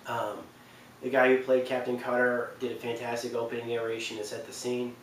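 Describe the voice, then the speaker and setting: A man in his twenties hosting a video, talking casually and a little haltingly to the camera. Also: mid-range voice, completely flat delivery. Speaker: video host presenting a ranking. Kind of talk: casual unscripted talk to camera. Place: small indoor living room on a couch. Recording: roomy, distant mic, faint noise.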